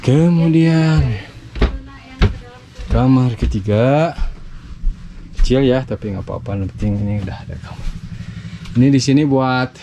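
A man walking through a villa with his camera, chatting loosely and tossing in fillers. His voice sits at a middling pitch. A steady hiss of noise runs under the audio. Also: unhurried, long pauses. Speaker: vlogger touring a villa. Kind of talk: casual walkthrough commentary. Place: indoors and outdoors at a mountain lakeside villa.

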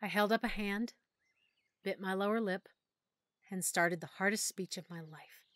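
A woman narrating a first-person audiobook in a soft, emotional storytelling manner. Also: mid-range voice, normal speed, no echo, clean studio sound.

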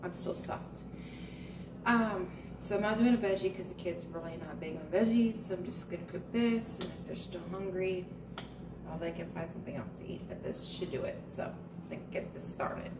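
A woman with a lowish voice chats in a plain, matter-of-fact way while she cooks at home. She moves around the kitchen, away from a camera sitting on the counter. Her voice bounces off the room, and steady noise runs underneath. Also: moderate pace. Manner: chatty, matter-of-fact, talks while cooking and moving about. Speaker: home cooking vlogger. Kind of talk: casual cook-along narration. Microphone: camera on the counter, speaker moving around. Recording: echoey kitchen, distant from camera, loud background noise.

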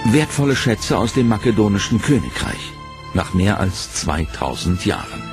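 A man giving scripted documentary narration in a measured, dramatic storytelling manner. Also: on the low side, big pitch swings. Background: loud dramatic music.

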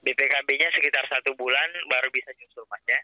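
A man making a persuasive pitch over the phone, speaking insistently with some hesitation. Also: little pitch movement, quick pace, dry recording. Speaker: phone caller claiming to be a prize official. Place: on a phone call. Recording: narrow, dull phone line.

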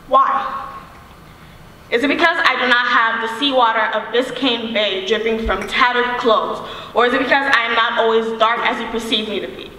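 A young woman performing spoken word poetry on a theater stage, declaiming emphatically with deliberate repetition. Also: fairly high pitch, sharp tone. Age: young adult. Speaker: student spoken word poet. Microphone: microphone on a stand. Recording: very echoey hall, distant, faint noise.

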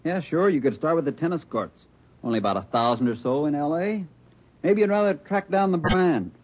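A husky, sardonic crime-lab tech. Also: male voice, on the high side, expressive, a little fast. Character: dry, wisecracking male police lab technician. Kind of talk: 1940s radio drama acting. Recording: muffled old radio broadcast.

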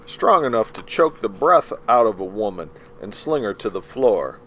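A man's voice: fairly low pitch, big pitch swings, normal speed, fairly bright tone, slight background noise, poor audio. A man doing a sneering scornful prosecutor. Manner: contemptuous, pressing.

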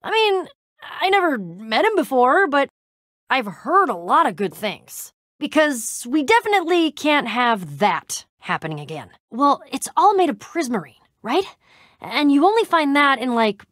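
Youngish woman game hero, tough but exasperated, lively swingy pitch, dry close sound. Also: normal speed, no echo. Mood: earnest, explaining. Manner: wry, casual, hesitant trailing-off delivery. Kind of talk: video game voice acting.

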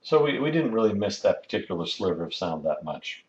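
A man's voice: fairly low pitch, sing-song, brisk, a little mellow, reverberant, muffled recording. A man giving a methodical, step-by-step technical lesson as an instructor. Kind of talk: explanatory screen-recorded lesson.

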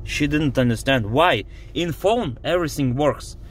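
A man with a Lithuanian accent, a native Lithuanian speaker, complains in a car about voice input while showing his phone and dashboard screen. He speaks in halting English with many fillers and sounds frustrated. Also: a little high, expressive, a little hiss or hum. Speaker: driver demonstrating a car app. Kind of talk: casual complaint video. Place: inside a parked car.

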